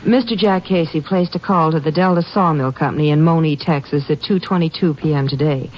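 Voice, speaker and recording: A woman's voice: low-pitched, fairly quick. An actress playing a polite young female telephone operator, in an old radio drama. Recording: dull old radio broadcast.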